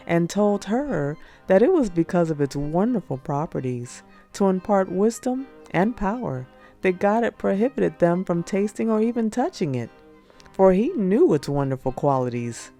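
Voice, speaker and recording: A woman narrating religious prose as an audiobook, in a measured, solemn read-aloud delivery. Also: lowish voice, warm tone. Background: music.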